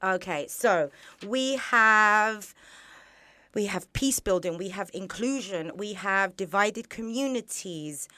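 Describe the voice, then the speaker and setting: A woman's voice: mid-range voice, lively intonation, slow pace, sharp tone, dry recording. A woman hosting a podcast interview in a studio, chatty and bubbly, laughing often. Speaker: podcast host. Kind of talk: casual interview. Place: borrowed studio. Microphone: studio microphone.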